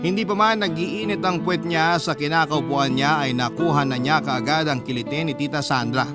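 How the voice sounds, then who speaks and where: A Filipino man reads a first-person story for a radio drama. His voice is somewhat high, and prominent guitar music plays loudly behind him. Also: fairly quick. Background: loud guitar music bed.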